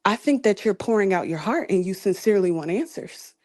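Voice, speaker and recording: A woman hosting a podcast who calmly reassures a caller and gives advice, speaking softly with frequent pauses and fillers. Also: medium pitch. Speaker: podcast host and relationship coach. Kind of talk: calm advice-giving talk.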